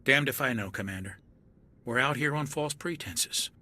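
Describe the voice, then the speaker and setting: A wary young soldier. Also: male voice, medium pitch, moderate pace, sharp tone. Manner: earnest, suspicious, level-headed. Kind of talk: video game cutscene acting.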